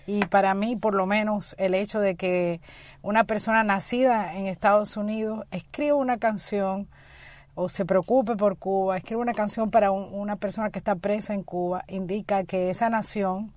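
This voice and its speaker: A woman's voice: medium pitch, steady tone, normal speed, a little mellow, dry recording. A middle-aged woman co-hosting a talk show, often chiming in to agree. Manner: affirming, chimes in often. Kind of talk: casual studio talk.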